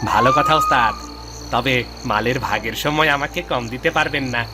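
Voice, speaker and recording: A man voicing a cheeky, cowardly burglar sidekick in a cartoon, with a wheedling, excitable manner. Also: medium pitch, normal speed, music in the background, lightly acted. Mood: eager, cheeky. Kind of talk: comic cartoon dubbing. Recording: clear night insect noise behind. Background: crickets, frogs, night insects.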